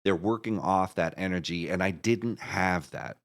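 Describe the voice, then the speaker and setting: Low-voiced man chatting enthusiastically. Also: normal speed. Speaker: interview guest, audio drama creator. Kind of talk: casual interview chat.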